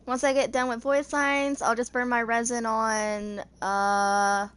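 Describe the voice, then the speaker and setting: A woman's voice: fairly high pitch, flat delivery, slow, fairly bright tone. A woman in her twenties hosting a gaming channel, reading character stories aloud with casual asides, tripping over words and rereading them. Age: 20s.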